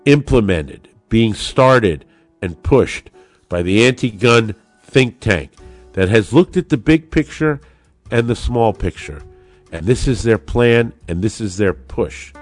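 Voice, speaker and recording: A man delivers opinionated commentary as a podcast host, his pitch swinging widely as he drives points home. He sounds indignant and insistent, asking rhetorical questions and repeating key phrases. The sound is dry and close, with very little room in it. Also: dry recording. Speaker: host of a gun-rights podcast. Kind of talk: opinionated political commentary, addressed straight to listeners.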